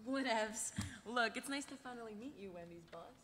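A woman's voice: fairly high pitch, expressive, moderate pace, a bit bright. A woman playing a brash, bossy young roommate with a breezy, slangy, emphatic delivery at a live table read. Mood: breezy, insistent. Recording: echoey convention hall, noisy.

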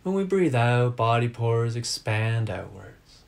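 Guy leading a guided meditation, really slow, calm short phrases, mid pitched voice. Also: gravelly. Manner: measured short phrases with calm, deliberate delivery. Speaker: meditation teacher.

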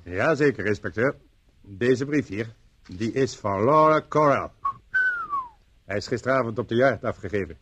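An actor playing a dutiful police assistant in a radio play, deferential and matter-of-fact, slightly hesitant. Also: male voice, mid-range voice, animated, normal speed, pauses often, a bit bright, breathy edge, no echo. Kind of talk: Dutch radio play acting.

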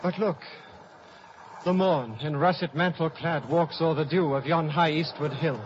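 An actor playing an earnest, loyal scholar in measured, solemn 1950s radio Shakespeare. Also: male voice, on the high side, slight background noise, close to an everyday voice. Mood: awed. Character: Horatio, earnest loyal scholar recounting a tragedy. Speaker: narrator. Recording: muffled 1950s radio broadcast.

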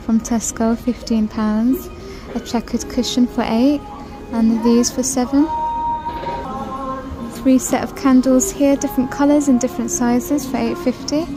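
Woman, shopping vlog narration, higher pitch, chatty and gushing, phone recording, music in background. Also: level tone, a little slow, rough recording. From United Kingdom. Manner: chatty, gushing over items and reading out prices. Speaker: shopping vlogger. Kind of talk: shop-with-me walkthrough narration. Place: large supermarket, indoors.

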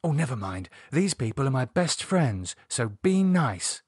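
A man voicing a bossy, worldly British woman in an audiobook, slyly ruthless, teasing and forceful. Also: mid-range voice, very animated, measured pace, slightly rough, no room sound, studio quality. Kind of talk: audiobook character voice.

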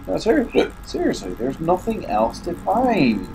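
A man's voice: high voice, wildly swooping pitch, normal speed, gravelly, background noise. An English man commentating a horror game, muttering and grumbling to himself, with a stuffy nose and hiccups. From England. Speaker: gaming YouTuber. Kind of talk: casual let's play commentary.

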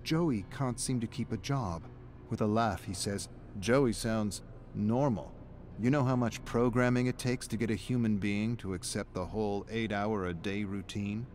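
A man's voice: on the low side, moderate pace, darkish tone, natural voice. A man reading a scripted, dramatic story narration as the narrator. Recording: background noise under game narration.

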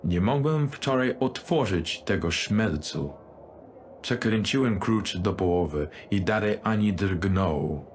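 A man playing a demanding dignitary customer in a dark fantasy audio drama, speaking in a cool, curt and haughty manner. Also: lowish voice, expressive, slow pace, slight background noise. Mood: curt, impatient.

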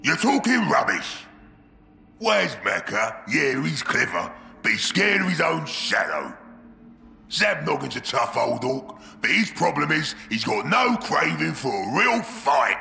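An actor playing a brash, warmongering ork warlord in a video game, with a gruff Cockney voice and blustering delivery. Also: male voice, fairly high pitch, huge pitch swings, a little slow, reverberant, in a large hall, faint music behind, full character voice. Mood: scornful. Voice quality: gruff, gravelly. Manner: blustering, bellowing. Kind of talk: video game cutscene acting.

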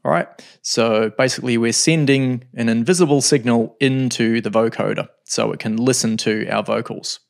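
Middle-aged guy walking thru a synth plugin tutorial, laid back, lots of ums, mid pitch. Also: normal speed, gravelly. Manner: relaxed, explanatory, thinking aloud with ums. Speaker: music production tutor. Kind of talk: screen-recorded software tutorial.

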